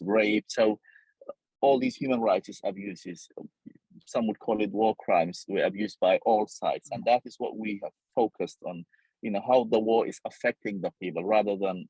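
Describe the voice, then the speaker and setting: Male journalist, Somali accent, low husky voice, earnest interview delivery. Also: a little mellow, no echo, rough recording. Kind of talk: reflective interview account.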